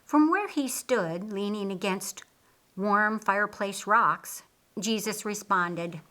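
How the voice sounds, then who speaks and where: A woman reads a story for an audiobook. Her delivery is slow, deliberate and expressive. The tone is bright, and the pitch sits in the middle for a woman. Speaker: audiobook narrator. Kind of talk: scripted storybook narration.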